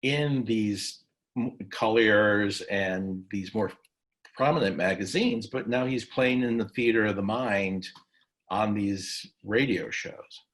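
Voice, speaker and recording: A man with a fairly low voice hosts an interview at a slow pace. He speaks conversationally, in halting short phrases, pausing as he frames each point. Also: a bit bright. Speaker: interviewer, session host.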